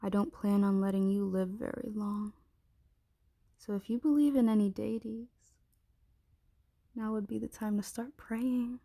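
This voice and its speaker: A woman playing a menacing, possessive dragon shifter queen in an ASMR roleplay, commanding and often slipping into breathy whispers. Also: medium pitch, a little slow, pauses often, mellow, no echo, studio quality. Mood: taunting, threatening.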